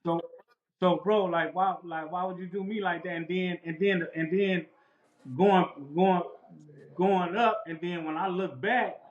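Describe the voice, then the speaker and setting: Interview guest: man, slightly high voice, laid-back street talk, dull roomy recording. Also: steady tone, unhurried. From Los Angeles. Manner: laid-back, repetitive street slang with frequent "bro". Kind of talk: casual storytelling. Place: outdoors near a house.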